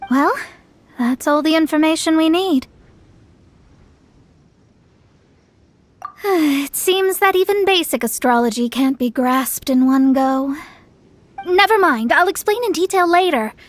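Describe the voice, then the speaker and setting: A woman's voice: high-pitched, unhurried. A woman playing a proud, easily exasperated young astrologist in a video game, with lofty, formal, theatrical phrasing. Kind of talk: video game character acting. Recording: music behind the voice.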